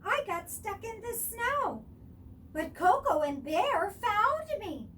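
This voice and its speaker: A tiny, innocent storybook fawn, played by a woman, pitched very high. The delivery is childlike and plaintive with big swoops in pitch, picked up a little away from the mic. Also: sing-song, slow pace, fairly bright tone, recorded from a distance, slight background noise, full character voice. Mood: relieved. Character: small lost baby deer, innocent, adventurous. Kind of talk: storybook character voice.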